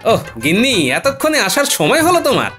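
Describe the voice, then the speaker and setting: A cheeky, clever folktale jester for a cartoon. His delivery is lively and widely varied in pitch, witty and teasing, over clearly audible music. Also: quick pace, exaggerated voice. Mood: grumbling.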